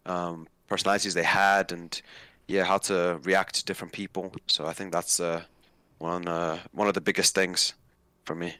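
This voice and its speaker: Low, clear-voiced young man from Nigeria. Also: pushed and bright, no echo. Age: 20s. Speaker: interview guest, novelist. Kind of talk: casual interview conversation.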